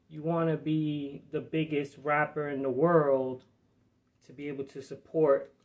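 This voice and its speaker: Man from New Jersey, podcast host, slightly high voice, loose rambling delivery full of swearing. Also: a little mellow. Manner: profane, rambling, streetwise talk. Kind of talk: casual live podcast chat.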